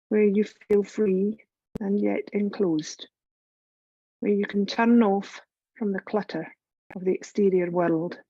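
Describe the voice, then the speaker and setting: Mellow woman guiding a meditation, dull recording. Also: mid-range voice, no echo. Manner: soothing, unhurried, instructive. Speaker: yoga teacher leading guided meditation. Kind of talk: guided meditation, scripted narration.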